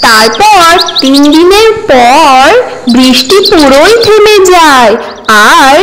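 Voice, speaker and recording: A woman's voice: sits high, noisy background. A woman narrating a scripted children's story as the storyteller.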